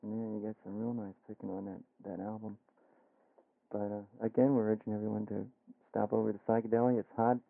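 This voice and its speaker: Man, American radio interviewer, creaky voice, monotone, low-key and conversational, thin phone-like vintage broadcast sound. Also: fairly quick. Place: radio studio.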